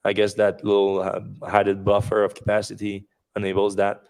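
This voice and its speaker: Low, flat-voiced man on a podcast. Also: normal speed. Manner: rambling, many fillers and restarts. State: losing his voice. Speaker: podcast host. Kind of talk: casual news discussion podcast.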